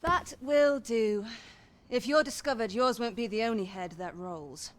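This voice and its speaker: A woman's voice: fairly high pitch, unhurried, natural voice. An actress voicing a sly, flirtatious lady of a pleasure house in a fantasy video game, teasing and haughty, with archaic formal phrasing. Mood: stern, conspiratorial.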